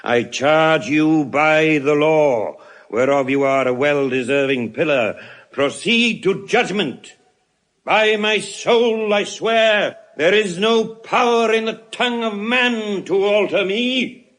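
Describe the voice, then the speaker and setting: An actor playing a vengeful, stubborn moneylender in an audio Shakespeare drama, theatrical and emphatic, moving from hushed menace to crying out. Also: male voice, on the high side, takes it slowly. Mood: fierce, unyielding. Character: vengeful, stubborn moneylender, middle-aged or older man. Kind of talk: classical stage acting in audio Shakespeare.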